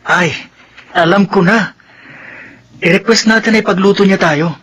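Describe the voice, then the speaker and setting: Casting: devoted younger brother, higher-pitched, forceful bright tone, eager, radio drama. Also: male voice, unhurried, breathy edge, heavily acted. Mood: eager, coaxing. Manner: eager, coaxing. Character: caring, upbeat younger brother, devoted son.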